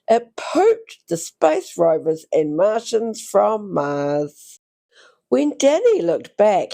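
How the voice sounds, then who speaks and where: A woman reads a rhyming storybook aloud for young children. Her pace is unhurried and her pitch swings widely, with some creak in the voice. She draws out phrases theatrically. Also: warm tone. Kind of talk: expressive read-aloud of a rhyming picture book.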